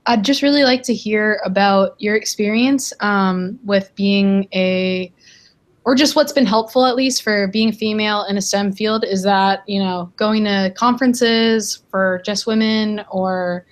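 A woman's voice: mid-range voice, fairly even intonation, measured pace. A young adult woman asking a panel a question over a video call from an office, polite and hesitant, with many fillers. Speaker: audience member asking a question. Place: office with a window.

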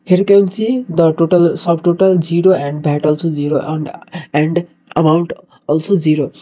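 A man with a Nepali accent and a very dark, soft-edged tone hosts a tutorial in an even, flat melody. He speaks haltingly and repeats words, and the microphone sound is dull and lo-fi. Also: a little high. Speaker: tutorial host. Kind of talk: screen-recorded tutorial narration.

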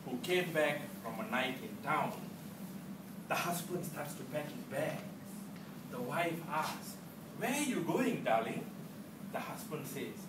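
Malaysian male club speaker, theatrical and very lively, higher-pitched voice, recorded from across a room. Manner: theatrical, deliberate pauses and emphatic delivery. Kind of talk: humorous prepared stage speech. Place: indoor club meeting room. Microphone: far camera. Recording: distant mic in echoey room, noisy.

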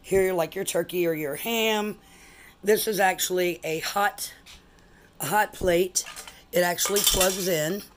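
A woman's voice: fairly low pitch, measured pace, noisy background. A woman, chatting casually as she walks viewers through her buffet table. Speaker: collector showing a buffet table. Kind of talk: casual show-and-tell vlog. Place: home dining room, indoors. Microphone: handheld phone camera.

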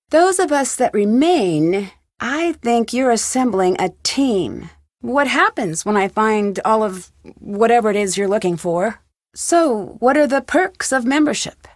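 A woman's voice: a little high, dry recording, studio quality. An actress voicing a curious, composed young female detective in a video game, asking polite but pointed questions.

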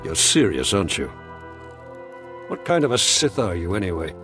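An actor playing a weary, idealistic, defiant scientist in a video game, with a dramatic, sardonic, impassioned delivery. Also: male voice, low-pitched, big pitch swings, moderate pace, over music. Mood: wary, relenting. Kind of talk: video game character dialogue.

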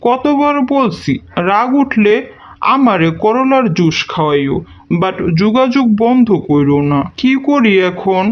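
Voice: mid-range voice, animated, unhurried, lightly acted. A performer voicing a whiny, dramatic young man in a Bangla comedy cartoon, with a Bangladeshi accent. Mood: lovesick. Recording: dull lo-fi voice track.

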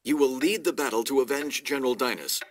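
A man playing a stern, calculating middle-aged lord in a video game, measured and authoritative. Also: mid-range voice, slight background noise, close to an everyday voice. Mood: cold command. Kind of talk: video game cutscene acting.

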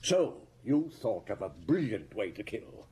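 An actor playing a shrewd, methodical chief inspector in a radio drama, probing and deliberate with a husky voice. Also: male voice, lowish voice, very animated, mellow, exaggerated voice. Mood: methodical, pressing. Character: shrewd, methodical male police chief inspector laying out accusations. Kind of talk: BBC radio drama acting.

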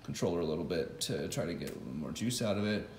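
A man's voice: deep voice, sing-song, quick pace, gravelly, darkish tone, echoey room, a little hiss or hum. A man casually talking through his motorcycle wiring in a home garage, thinking aloud with fillers and restarts, recorded close on a phone camera. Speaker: vlogger building an electric motorcycle. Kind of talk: casual workshop walkthrough.

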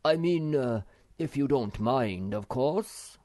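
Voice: medium pitch, animated, takes it slowly, mellow, no echo, clean studio sound, voice pushed up. A reader voicing the Snork, a fussy creature who speaks formally and hesitantly.

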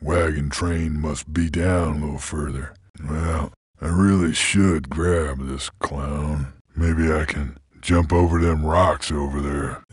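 An actor playing a gruff, laconic creature bounty hunter in a video game, with a gravelly voice and terse, folksy cowboy drawl. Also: male voice, very deep voice, dry recording, studio quality, exaggerated voice. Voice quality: gravelly, husky. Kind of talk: video game character voice lines.

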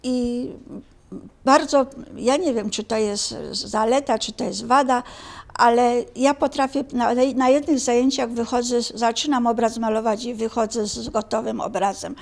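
An older woman talking informally in an interview, haltingly searching for her words. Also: a little high, fairly even intonation, creaky. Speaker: amateur painter being interviewed.

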